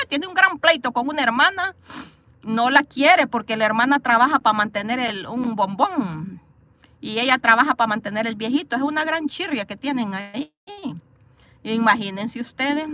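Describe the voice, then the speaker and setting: A woman's voice: fairly high pitch, bright, forceful tone. A woman vlogging from a parked car into her phone, bluntly scolding and gossiping as she reads live comments. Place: parked car, outdoors view. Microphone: phone camera.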